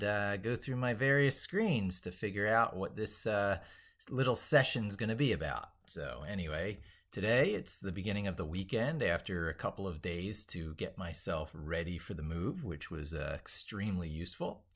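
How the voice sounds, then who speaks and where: A man's voice: low voice, lively intonation, normal speed, a bit bright. A man hosting a casual, unscripted livestream, rambling as he thinks aloud. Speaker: livestream host.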